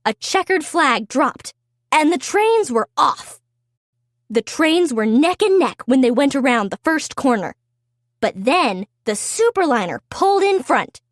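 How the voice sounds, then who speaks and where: A woman reading a story aloud for young children in an expressive, deliberate way. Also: sits high, no echo, clean studio sound.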